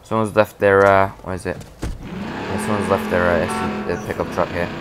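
A man's voice: deep voice, flat delivery, moderate pace, loud music behind the voice. A man giving casual let's play commentary, rambling as he plays and losing his voice. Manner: rambling, talks through his moves, restarts often. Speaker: gameplay commentator.

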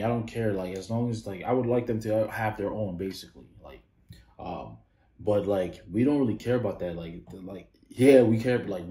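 A man's voice: lowish voice, roomy sound. A man in his thirties hosting a reaction video, talking casually and full of "like" fillers. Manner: rambling, many "like" fillers, animated when disagreeing. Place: small indoor room.

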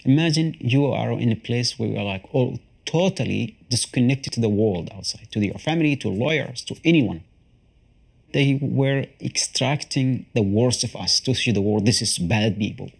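A man in his thirties with a husky, gravelly voice, speaking in halting, English and often pausing to find his words. He is recorded indoors in a small apartment during an interview. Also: mid-range voice. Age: 30s. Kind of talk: emotional interview.

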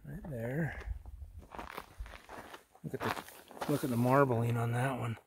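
A man's voice: medium pitch, takes it slowly, vocal fry, noisy background. A middle-aged man chatting casually while he hikes an outdoor trail, pointing things out and easily impressed. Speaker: vlogger. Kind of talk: casual hiking commentary. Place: outdoors on a rocky desert trail.

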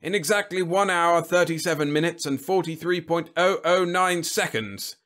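Man acting out a pompous, blustering army general, pitched up. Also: animated, normal speed, a bit bright. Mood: proud. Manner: pompous, jovial, snappish. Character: proud, smug, blustering male army general.

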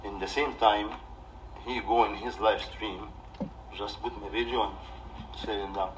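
An Egyptian-accented man speaks in a low voice, off the cuff to a livestream. He is halting and defensive, repeating himself as he argues. The mic sits some way off in a room, with light noise behind him. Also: steady tone. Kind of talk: off-the-cuff livestream rant to camera. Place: small living room indoors. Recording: distant mic with background noise.

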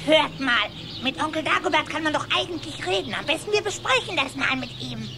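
Eager, very high-pitched boy duckling. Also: lively intonation, fairly quick, noisy background. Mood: earnest. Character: eager young boy duckling, cartoon nephew.

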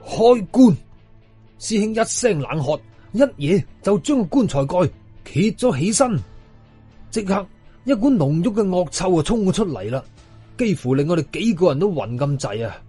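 A man's voice: sits high, normal speed, slightly rough, faint music behind. A man with a Hong Kong Cantonese accent narrating a horror story in a dramatic, suspenseful way. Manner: animated, suspenseful telling.

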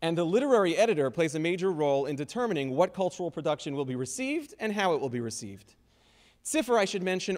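A man's voice: on the high side, a little mellow. A man in his thirties reading a prepared introduction, dry and wry, at a lectern mic in a large wood-panelled hall. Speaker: event host introducing speakers. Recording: long hall reverb.

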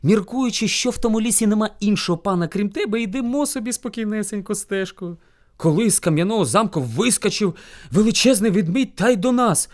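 Male narrator as small cunning hare, servile flatterer, pleading, high voice, folk tale. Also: fairly quick. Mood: earnest, servile. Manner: meek, flattering, wheedling. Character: small sly hare, servile and pleading before his lord. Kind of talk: animated folk tale storytelling.

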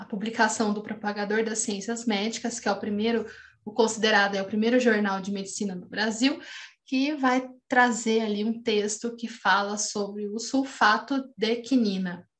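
A woman in her thirties with a Brazilian accent giving an online academic lecture over a video call, talking with frequent restarts and fillers. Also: on the high side. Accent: Brazilian Portuguese. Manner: hesitant, many restarts and fillers. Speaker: postdoctoral researcher lecturing. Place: home room, seen on webcam. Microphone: video call webcam.

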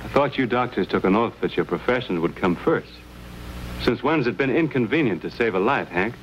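A man's voice: fairly low pitch, expressive, fairly quick, natural voice. An actor in his thirties playing a cool, stubborn frontiersman in an old western, with a dry, plain-spoken manner. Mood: challenging. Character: cool, stubborn frontiersman, polite but defiant. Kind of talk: 1950s television western acting. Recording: muffled, hissy old TV soundtrack.

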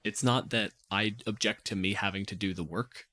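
Low-voiced man in analytical casual chat. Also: fairly even intonation, moderate pace, bright, forceful tone, no echo, studio quality. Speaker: podcast panelist discussing tabletop game monsters.

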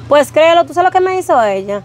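A woman acting as a neighbor upset over being charged for a loan, talking with quick, aggrieved animation. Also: sits high, sing-song, darkish tone, faint music behind.

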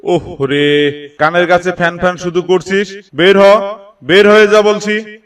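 A man's voice: sits high, steady tone, a little slow, a bit bright, clean tone, far from the mic. A man playing a suspicious, dismissive eatery owner in a cartoon, curt and scolding. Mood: irritated. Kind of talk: Bengali moral cartoon voice acting.

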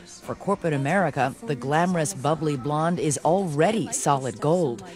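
A woman's voice: low-pitched, moderate pace, darkish tone. Dramatic woman news narrator over music. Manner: dramatic storytelling delivery. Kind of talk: scripted narration.

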